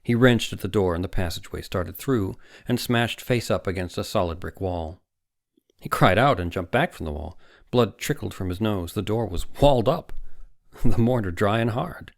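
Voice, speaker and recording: A man narrating an audiobook story in a dramatic, suspenseful manner with deliberate phrasing. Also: lowish voice, no room sound, studio quality. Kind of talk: dramatic scripted fiction narration.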